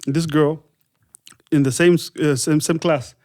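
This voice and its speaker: A Malawian man co-hosts a podcast in a chatty, self-deprecating way. His voice sits a little higher than most men's. He moves easily between English and a local language. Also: steady tone, unhurried, darkish tone. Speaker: podcast co-host. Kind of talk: casual podcast chat.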